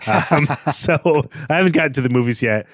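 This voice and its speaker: A man's voice: fairly high pitch, expressive, normal speed, no room sound, clean studio sound. A man co-hosting a literary podcast, talking conversationally in a thoughtful, hedging way as he analyses a book. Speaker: podcast host.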